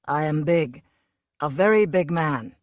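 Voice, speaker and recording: A woman's voice: deep voice, unhurried, no echo. A woman voicing a huge, drunken circus fat man who brags sleepily and boastfully.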